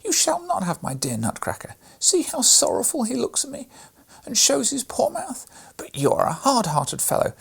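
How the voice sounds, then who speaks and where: A man voicing a tender, tearful little girl in a read-aloud storybook, pleading in a high voice. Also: huge pitch swings, moderate pace, vocal fry, a bit bright, slightly rough, exaggerated voice.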